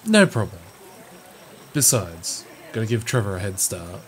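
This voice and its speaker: A man's voice: on the low side, unhurried. A man playing a good-natured, competitive big brother in a roleplay audio drama, bantering and teasing. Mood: cheerful, smug. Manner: bantering, animated.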